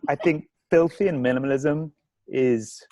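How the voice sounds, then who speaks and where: A man from England, a guest artist, answering interview questions haltingly with many restarts and fillers over a video call. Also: fairly high pitch, dark tone, dry recording.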